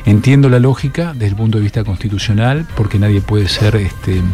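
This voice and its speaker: A middle-aged man with an Argentine Spanish accent, answering interview questions as a political candidate and pausing often on fillers and restarts. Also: on the low side, music in the background. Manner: measured, with many fillers and restarts.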